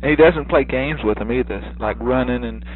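A man talking with family on the phone, relaxed, halting, starting over as he goes. The sound is narrow and phone-like, with a light hiss. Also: medium pitch. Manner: halting, easygoing, trails off. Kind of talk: casual family phone chat. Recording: tinny phone line with faint hiss.

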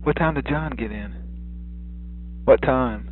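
Halting man on a tinny phone line. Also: medium pitch, slight background noise. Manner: halting, easygoing, trails off. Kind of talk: casual family phone chat.

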